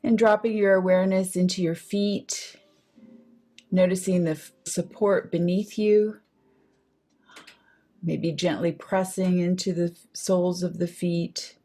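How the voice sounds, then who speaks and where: A woman leading a guided meditation in a gentle, soothing manner, pausing between short phrases. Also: on the low side, steady tone.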